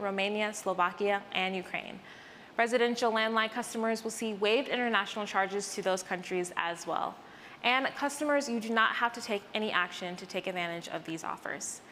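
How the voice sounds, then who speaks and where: A woman's voice: mid-range voice, fairly bright tone, long reverb tail, a little hiss or hum. An American woman hosting a scripted news update, with a polished presenter delivery. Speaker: news host.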